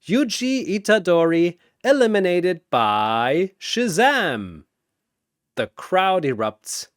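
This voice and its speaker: Dramatic male battle storyteller, slow and animated. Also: high voice, no echo, clean studio sound. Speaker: battle narrator. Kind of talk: dramatic scripted story narration with announcer flourishes.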